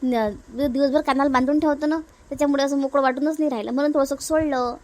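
Woman playing young cartoon mother, high pitch, Varhadi Marathi accent, chatty, compliant, explanatory. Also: steady tone, quick pace, darkish tone, lightly acted. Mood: compliant, explaining.

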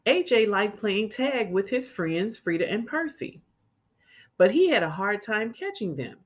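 A woman reading a children's storybook aloud as a teacher would, expressively and with clearly enunciated words for young listeners. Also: medium pitch, sing-song, a little slow.

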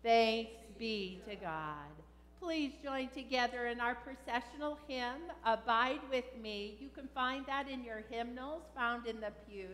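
A woman pastor reading formal funeral liturgy in a measured, solemn way. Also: on the high side, fairly bright tone. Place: large church sanctuary. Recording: long church reverb.

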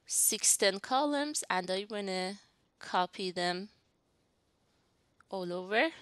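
A woman in her young adult years talks through a software tutorial, explaining each click and step as she goes. Her voice sits at a middle pitch and sounds close and dry, with no room around it. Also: clean tone, no echo. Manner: step-by-step explanatory, thinking aloud. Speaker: instructor. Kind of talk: screen-recorded software tutorial. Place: indoors at a desk.

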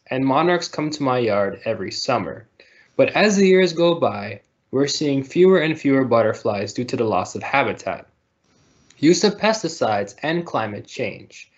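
Man, storybook reader for kids. Medium pitch, lively intonation, muffled video-call recording. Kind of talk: children's picture book read-aloud.

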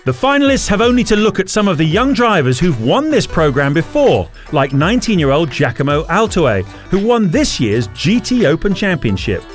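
A man narrating a motorsport feature as a reporter, in a lively, informative delivery. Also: sits high, normal speed, few pauses, faint music behind. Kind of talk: scripted narration.

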